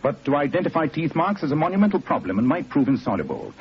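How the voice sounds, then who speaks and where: An actor playing a brilliant, cool English detective with a precise, superior manner in 1940s radio drama. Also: male voice, a little high, huge pitch swings, quick pace, breathy edge, exaggerated voice. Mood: absorbed, superior. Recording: dull, noisy old radio recording.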